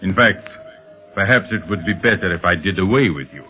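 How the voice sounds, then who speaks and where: A man plays a cold criminal mastermind in an old radio serial. His lowish voice gives orders and threats in an imperious, measured way. The recording is dull, vintage 1930s broadcast sound. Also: soft background music, close to an everyday voice. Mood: cold menace.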